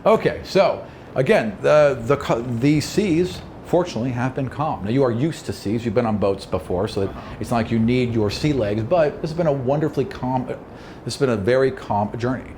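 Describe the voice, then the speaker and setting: A man's voice: mid-range voice, normal speed, a little mellow, a little hiss or hum. A man working as a game master, narrating a tabletop roleplaying game in a descriptive storytelling way. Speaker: game master and podcast host.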